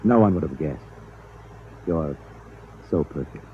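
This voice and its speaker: A man acting in a 1950s radio drama as a compassionate, authoritative captain, earnest and deliberate, on a muffled old recording. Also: a bass voice, animated, a little hiss or hum, exaggerated voice. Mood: grave, gentle. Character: compassionate, authoritative male spaceship captain, grave and understanding.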